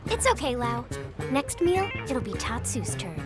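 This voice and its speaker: A bubbly high-voiced teenage girl. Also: sing-song, a little slow. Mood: cheerful. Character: bubbly, teasing, outspoken teenage girl teammate. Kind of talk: video game cutscene acting. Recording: music behind the voice.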